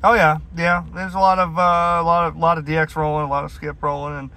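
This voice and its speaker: Chatty man on CB, bright higher voice. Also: little pitch movement, a little fast. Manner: chatty, slangy, punctuated with radio lingo. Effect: heard over CB radio. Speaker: mobile CB radio caller. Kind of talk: casual CB radio chat. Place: inside a vehicle on a highway.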